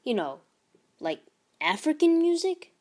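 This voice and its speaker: Nerdy small schoolboy, played by a woman, earnest, wry know-it-all, unhurried, storybook character. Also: high-pitched, sing-song, a little mellow, voice pushed up. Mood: defensive.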